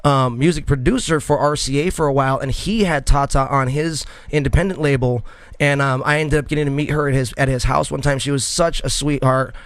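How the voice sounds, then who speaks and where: A man's voice: medium pitch, fairly even intonation, fairly quick, clean tone, no room sound. A man in his thirties hosting a podcast interview, talking casually and rambling with many fillers and restarts. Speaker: podcast host.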